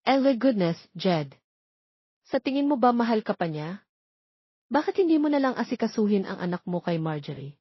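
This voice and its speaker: A woman's voice: medium pitch, sing-song, normal speed, long pauses, slightly rough, no room sound. A middle-aged mother from an audio drama, acted by a female narrator, protective and stern, pleading with wounded firmness. The sound is close and dry, slightly dull. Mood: exasperated.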